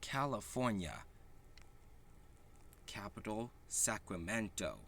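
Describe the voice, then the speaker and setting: Man, storybook read-aloud, slow halting delivery, very animated intonation, deliberate. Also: lowish voice, gravelly, slight background noise. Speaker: storybook reader. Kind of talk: children's picture book read-aloud.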